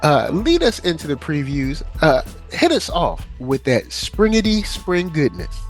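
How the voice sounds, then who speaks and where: A laid-back man co-hosts a casual podcast, with a voice that rises and falls a lot. His pitch sits a little high, and faint music plays behind him. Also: huge pitch swings, unhurried. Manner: laid-back, introduces guests. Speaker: podcast co-host. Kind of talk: casual chat.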